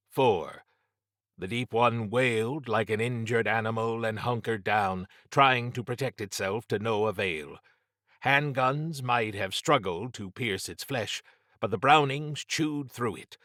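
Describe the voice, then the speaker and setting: A man's voice: mid-range voice, a bit bright, no echo, clean studio sound. A man narrating a scripted horror adventure as an audiobook reader.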